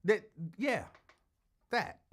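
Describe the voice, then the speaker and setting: A man talking casually as a talk show host, in a measured, somewhat hesitant way that circles back over words. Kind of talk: casual chat.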